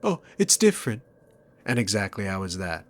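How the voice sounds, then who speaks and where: A man's voice: on the low side, very animated, moderate pace. A man playing a dominant, sarcastic demon king villain in a scripted ASMR roleplay, with smug, teasing, theatrical phrasing. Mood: sardonic, argumentative.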